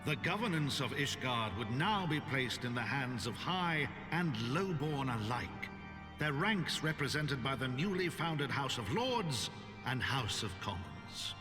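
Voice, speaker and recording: A man's voice: mid-range voice, very animated, a little slow. A solemn storybook chronicler, narrates a fantasy game with formal, stately phrasing. His voice rings in a long reverberant hall, with music behind.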